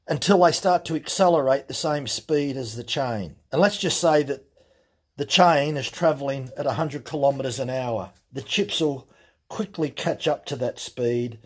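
A man explaining things step by step in a relaxed, plain-spoken way during a workshop demonstration. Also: on the high side, husky. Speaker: chainsaw channel host. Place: workshop bench.

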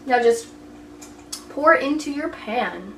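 High, giggly young woman in an echoey kitchen. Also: animated, takes it slowly, far from the mic. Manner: giggly, goofy, teasing. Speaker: vlogger hosting a cooking video. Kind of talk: playful, chatty cooking demonstration. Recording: echoey, noisy room, off mic.

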